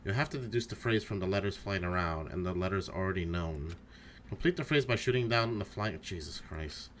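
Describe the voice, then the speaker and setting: A man's voice: low voice, lively intonation, a bit bright, slight background noise. A man doing brash, sweary, casual commentary as he plays and reads out a game. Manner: brash, sweary, quick to laugh. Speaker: game streamer reading a character aloud.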